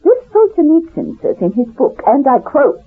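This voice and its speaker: A woman's voice: high-pitched, very animated, moderate pace. An elderly Polish-accented refugee woman. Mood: reminiscing. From Poland. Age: older. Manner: rambling, addresses listener directly, laughs at herself. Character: elderly refugee woman, talkative, proud, wry survivor. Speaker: storyteller recounting her past. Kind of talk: 1970s radio drama monologue. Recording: tinny lo-fi old radio recording.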